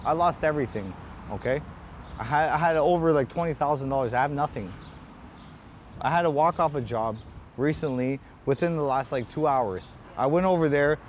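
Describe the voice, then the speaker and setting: A frustrated man with an Ontario Canadian accent vents in a street interview. His voice is fairly high and agitated, circling back on the same phrases. Faint outdoor city noise sits behind him. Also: moderate pace. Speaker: painting contractor interviewed on the street. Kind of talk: street interview, impassioned venting.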